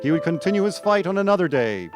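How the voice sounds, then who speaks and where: A man's voice: high voice, big pitch swings, fast. A man narrating a historical radio drama in scripted storytelling style. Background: music.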